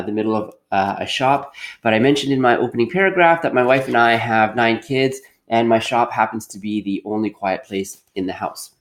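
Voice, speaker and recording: A middle-aged man leading an interactive read-aloud for young children as their teacher, encouraging them and repeating his questions. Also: medium pitch. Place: quiet workshop at home.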